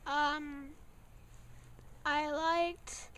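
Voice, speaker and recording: A child being casually interviewed as a young guest reviewer, talking haltingly and searching for words. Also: high voice, little pitch movement, fairly bright tone, no echo.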